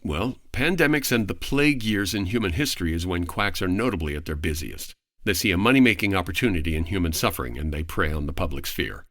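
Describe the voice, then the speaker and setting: Lowish-voiced man explaining history articulately. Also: animated, fast talker, fairly bright tone, dry recording, studio quality. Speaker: podcast guest, voice actor. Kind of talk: prepared explanatory narration.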